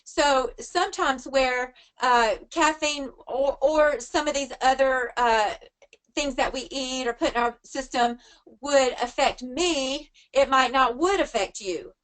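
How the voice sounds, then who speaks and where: Female vocal coach, middle-aged, high register, chatty anecdotal teaching style. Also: takes it slowly, a bit bright, low-quality recording. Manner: conversational, acts out quoted remarks. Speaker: vocal coach giving a webinar. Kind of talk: informal teaching with personal anecdotes.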